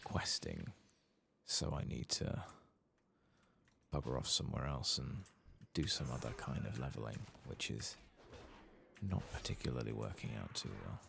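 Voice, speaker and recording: A British man with an extremely low voice, thick with creak and fry, speaks softly as he plays a game in ASMR style. He rambles in a low-key, musing way, close to the mic. A faint noise sits behind him. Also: sing-song, moderate pace. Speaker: gaming streamer, ASMR host. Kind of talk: soft-spoken ASMR game commentary, partly whispered.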